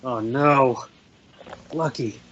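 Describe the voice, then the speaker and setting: Man playing an earnest, shaken man, bright voice. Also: medium pitch. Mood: anxious. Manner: halting, earnest. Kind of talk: amateur table read of a screenplay. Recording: dull lo-fi audio with faint noise.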